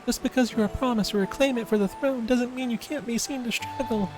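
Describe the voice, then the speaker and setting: A man voicing a gentle, caring female companion in a fantasy game. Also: very high voice, brisk, over music, pitched above the performer's own voice. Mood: gentle, reassuring.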